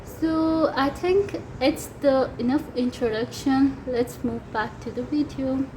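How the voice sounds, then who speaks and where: High-pitched Pakistani woman teaching languages. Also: mellow, slight background noise, poor audio. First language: Urdu. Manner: hesitant, many fillers. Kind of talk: casual language lesson.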